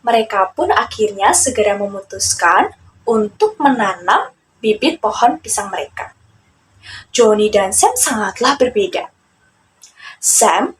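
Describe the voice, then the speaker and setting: A woman's voice: medium pitch, a little hiss or hum, low-quality recording. A young adult woman narrating a children's fable, speaking directly to her listeners as a storyteller.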